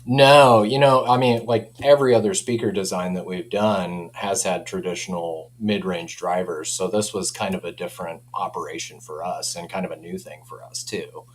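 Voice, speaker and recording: A man's voice: deep voice, steady tone, normal speed, hardly any pauses, a little hiss or hum. A man speaking informally as a company representative in a casual product chat, often framing things as personal opinion. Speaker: audio company representative and interview guest.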